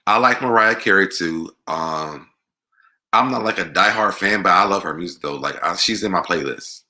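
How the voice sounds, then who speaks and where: An American man with a deep voice hosting a casual music Q&A, talking conversationally and repeating words for emphasis. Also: normal speed, bright, forceful tone. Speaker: online show host. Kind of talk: casual live Q&A chat about music.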